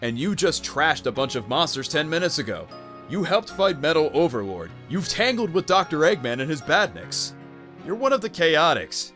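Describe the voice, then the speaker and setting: A man's voice: lowish voice, lively intonation, moderate pace, fairly bright tone, soft background music. A man voicing an earnest, exasperated young echidna treasure hunter in a cartoon fan dub, blunt and emphatic. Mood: encouraging.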